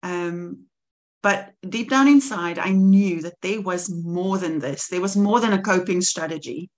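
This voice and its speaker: South african woman, 40s, earnest, lowish voice, easy laughs. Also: a little slow. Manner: earnest and reflective, laughs easily. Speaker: podcast guest. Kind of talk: personal testimony in conversation.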